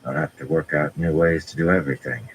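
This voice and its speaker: A man's voice: extremely low pitch, fairly even intonation, fast, a little hiss or hum. A man voicing a stoic, subdued young leader. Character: Rand, weary, stoic young male leader.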